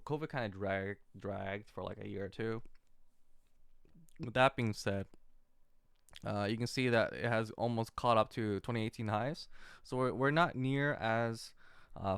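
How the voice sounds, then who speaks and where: A man giving an off-the-cuff screen-share commentary as an amateur investor, talking in a halting, rambling way. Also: lowish voice, clear, resonant tone, dry recording, clean studio sound. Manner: halting, rambling, hesitant delivery. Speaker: retail investor recording a portfolio update.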